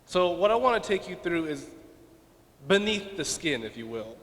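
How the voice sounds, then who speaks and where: A man's voice: a little high, sing-song, normal speed, fairly bright tone. A young man from Nevada, a student giving a self-deprecating speech with joking asides at a donor event. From Las Vegas, Nevada. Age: young adult. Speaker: student speaker. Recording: reverberant hall.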